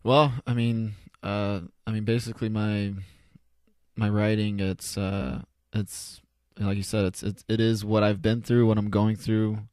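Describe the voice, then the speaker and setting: Low, monotone young man, halting delivery. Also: clear, resonant tone, no room sound, studio quality. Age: 20s. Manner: halting, repeats words, self-effacing. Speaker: podcast guest, poet. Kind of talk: casual, unscripted interview answers. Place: converted garage home studio.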